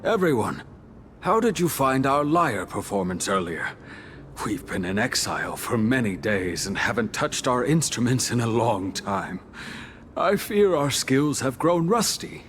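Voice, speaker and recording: An actor playing a courteous, humble older musician in exile, speaking formally and reflectively in a video game. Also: male voice, medium pitch, very animated, unhurried, fairly bright tone, slight background noise. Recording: faint music behind the voice. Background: faint music.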